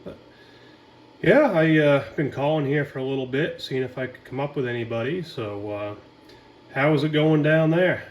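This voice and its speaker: A man's voice: medium pitch, moderate pace. Male ham operator, casual, rambling radio chat, light background hiss. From Maine. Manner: chatty, rambling, relaxed.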